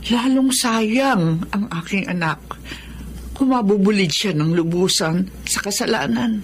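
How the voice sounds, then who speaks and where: Casting: actress as devout older mother, earnest and halting, mid-range voice, Filipino accent, radio drama. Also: medium pitch, slight background noise, lightly acted. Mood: earnest pleading. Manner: hesitant, earnest. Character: gentle, pious, pleading elderly mother.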